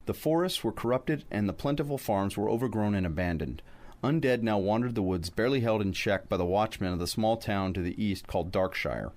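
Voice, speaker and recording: A man on a podcast narrating scripted fantasy lore in an articulate, wry storyteller's manner. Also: fairly low pitch. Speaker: podcast host.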